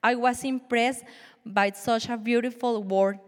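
A Colombian-accented woman in her forties, a native Spanish speaker, gives an earnest, measured testimony in church and stumbles now and then. Her voice sits fairly high. It rings with a long reverb, as if from a large hall. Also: steady tone. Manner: earnest, measured, with small restarts. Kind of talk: prepared personal testimony.

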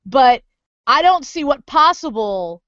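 Lively, high-voiced woman podcast co-host. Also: takes it slowly, no room sound, dull audio. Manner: blunt, animated, swears casually. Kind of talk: casual chat.

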